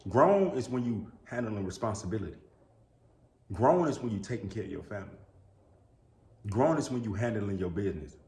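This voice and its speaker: A man's voice: lowish voice, animated, moderate pace, vocal fry, pauses often, darkish tone. Earnest man in an echoey room. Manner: earnest and preachy, repeats "you know what I'm saying" and "bro". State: sneezing, a bit sick. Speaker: YouTuber. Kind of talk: casual talk to camera. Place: indoors.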